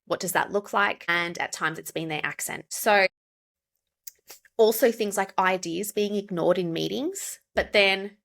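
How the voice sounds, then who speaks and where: Gravelly Australian woman explaining. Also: medium pitch, fairly bright tone. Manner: explanatory, measured. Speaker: pronunciation coach, guest. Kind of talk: podcast interview.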